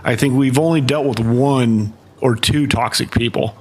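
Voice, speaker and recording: A man's voice: mid-range voice, fairly even intonation, moderate pace. A man live-streaming, chatting casually and explaining things with many fillers and restarts.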